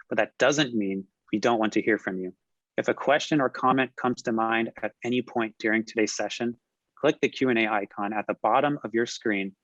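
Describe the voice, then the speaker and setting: A man moderating a business webinar, smoothly reading scripted announcements and introducing the speaker. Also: on the low side, no room sound, highs cut off.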